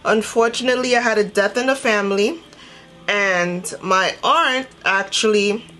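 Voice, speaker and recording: A woman with a mid-pitched voice talks as a beauty vlogger from Trinidad and Tobago. She tells her story casually and conversationally, acting out bits of dialogue as she goes. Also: a little slow, sharp tone, faint music behind, rough recording. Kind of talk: casual chatty storytelling while doing makeup.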